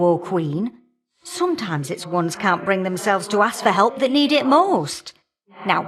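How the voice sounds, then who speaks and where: A woman's voice: medium pitch, fairly bright tone. A woman playing an irritable, motherly old goddess in a video game, scolding and teasing in a folksy way with old-fashioned endearments. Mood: bossy, needling. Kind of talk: video game character dialogue.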